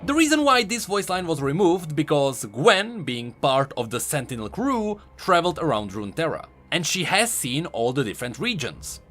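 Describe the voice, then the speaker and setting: A man's voice: a little high, sing-song, moderate pace, few pauses, fairly bright tone. A man giving emphatic, explanatory scripted commentary on video game lore as an online host. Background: background music.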